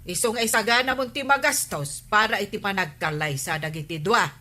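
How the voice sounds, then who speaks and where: Bright-voiced, brisk Ilocano village wife. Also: female voice, medium pitch. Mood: brisk, teasing. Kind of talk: Ilocano comedy radio drama acting.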